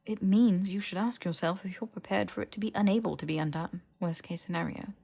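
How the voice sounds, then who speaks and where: A woman's voice: medium pitch, a little fast, vocal fry, darkish tone, breathy edge. Lady doing a bossy bookish young witch, precise n wordy, exasperated, sensible, audiobook character. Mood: cautioning.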